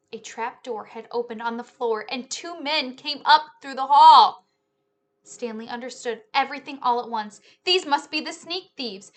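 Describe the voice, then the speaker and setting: A woman in her thirties reading a children's story aloud in an expressive, animated way, indoors on a webcam. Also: high voice. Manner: animated, hushing and swelling with the story. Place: small living room, indoors. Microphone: webcam at desk distance.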